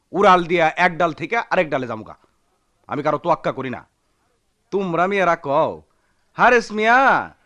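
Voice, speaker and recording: A man's voice: a little high, pushed and bright. An actor playing a hot-headed, swaggering young village man with a rural Bangladeshi accent in a radio drama, brash, boastful and scornful.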